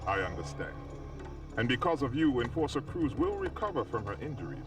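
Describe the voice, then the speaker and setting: A man playing a calm, reassuring commander in a cartoon drama. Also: mid-range voice, brisk, distant mic, lightly acted. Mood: calm, sympathetic.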